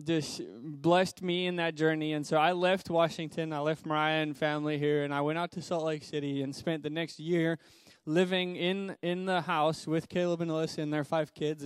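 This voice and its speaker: A young man from Zimbabwe, a missionary guest speaker, giving an upbeat personal testimony in a church in a brisk, preacherly way. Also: fairly high pitch, clear, resonant tone, no room sound.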